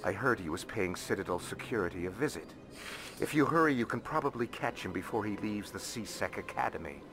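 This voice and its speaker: An actor playing a shrewd, courteous alien financial advisor in a video game, speaking formally and knowingly. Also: male voice, lowish voice, expressive, a little fast. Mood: confiding. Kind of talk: video game character acting. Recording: game music behind the voice.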